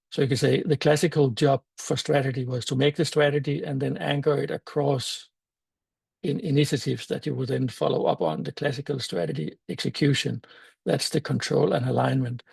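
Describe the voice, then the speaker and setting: An older man with a Danish accent, a native Danish speaker, giving a conference talk in a thoughtful, halting way with many fillers and restarts. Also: medium pitch, creaky, a little mellow, slightly rough, no room sound. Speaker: meetup speaker.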